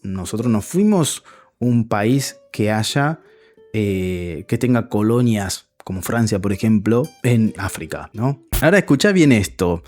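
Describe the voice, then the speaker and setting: Argentine male friar, chatty commentary straight to camera, animated delivery, faint music under. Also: lowish voice, measured pace. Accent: Argentine Spanish. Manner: conversational, addresses viewer directly. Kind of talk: casual video commentary.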